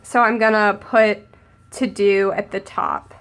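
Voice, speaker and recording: A young woman with a cold, a little hoarse and sniffly, chatting casually as she narrates decorating her planner. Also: mid-range voice, level tone, a little slow, a bit bright. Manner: chatty, upbeat, thinking aloud while crafting. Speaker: planner vlogger.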